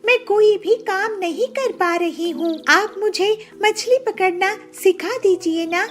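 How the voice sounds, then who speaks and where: A woman's voice: extremely high pitch, takes it slowly, faint music behind, pitched above the performer's own voice. A woman voicing an earnest young girl bird in a cartoon, eager and spirited. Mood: pleading.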